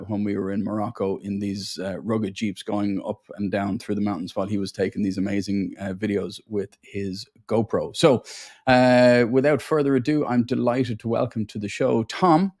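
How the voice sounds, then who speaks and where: Chatty low-voiced Irish host. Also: male voice, a little mellow. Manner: friendly, chatty, with fillers. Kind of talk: casual video-call interview.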